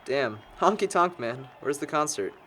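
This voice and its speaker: Man acting a sarcastic young guy, slightly high voice. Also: expressive, normal speed, a bit bright, slight background noise. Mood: grumbling. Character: sarcastic young man, teasing longtime friend. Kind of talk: scripted amateur audio drama dialogue.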